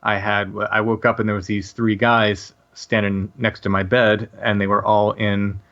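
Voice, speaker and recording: A man in his late forties, a podcast guest, telling a personal story casually in a halting way with many restarts and fillers. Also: lowish voice, little pitch movement, fairly bright tone, highs cut off.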